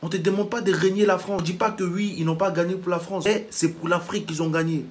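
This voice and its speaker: A man's voice: high voice, fairly even intonation, quick pace. A man from Africa delivering a heated reaction monologue as a vlogger, indignant and lecturing, blunt to the point of insults.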